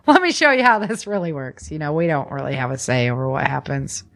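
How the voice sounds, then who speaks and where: A woman hosting a podcast, chatting casually to listeners in an animated, folksy way with informal asides. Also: sits low, fairly quick, creaky. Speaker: podcast host. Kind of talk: casual news chat to listeners.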